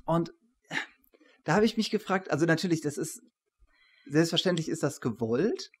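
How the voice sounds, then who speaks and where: A man's voice: on the high side, animated, moderate pace, slightly rough. A man in his forties hosting an academic interview, putting long discursive questions with many qualifications, at a desk microphone indoors. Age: 40s. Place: small attic room, indoors. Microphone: studio microphone on desk.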